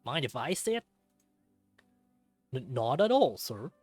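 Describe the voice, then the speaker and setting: A man's voice: medium pitch, very animated, slow, darkish tone, slightly rough, dry recording, soft background music, heavily acted. A man voicing a friendly but easily flustered young bull bartender in a visual novel, talking in casual slang. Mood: nervous, alarmed. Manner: casual, slangy, stammers when nervous. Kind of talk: visual novel character reading.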